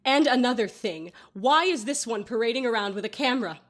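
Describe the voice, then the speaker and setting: A woman's voice: a little high, expressive, brisk, fairly bright tone. A woman playing a melodramatic, indignant, scornful mourner in an audio drama.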